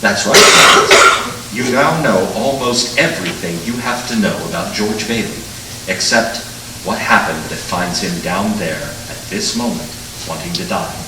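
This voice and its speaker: An actor playing an authoritative senior angel narrator, telling the story dramatically in measured 1940s radio style. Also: male voice, low voice, natural voice. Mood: grave. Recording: echoey stage, distant mic, noisy.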